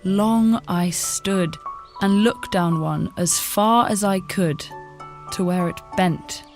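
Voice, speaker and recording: Female poetry reader, slightly low pitch, very unhurried, measured delivery. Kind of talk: poetry recitation. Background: music.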